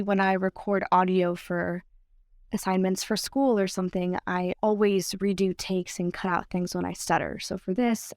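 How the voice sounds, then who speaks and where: A woman's voice: medium pitch, a little mellow, no room sound, clean studio sound. Teen girl with a mild stutter. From Columbus, Ohio, United States. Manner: reflective, candid, earnest. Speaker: student storyteller. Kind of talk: personal narrated story.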